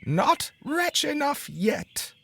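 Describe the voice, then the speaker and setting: A man voicing a small, bold female sparrow, high and strained, drawing out slow, fierce threats. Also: big pitch swings, vocal fry, pushed and bright, heavily acted. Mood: vengeful.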